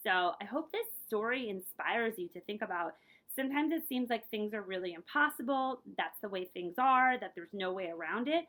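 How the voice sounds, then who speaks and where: A woman librarian reading aloud for children in an expressive storytelling way, speaking directly to her young listeners. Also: mid-range voice, normal speed.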